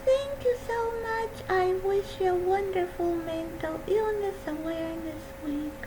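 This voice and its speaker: A woman with a soft voice giving a gentle, earnest personal talk on mental health. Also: very high-pitched, measured pace. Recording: steady background hum.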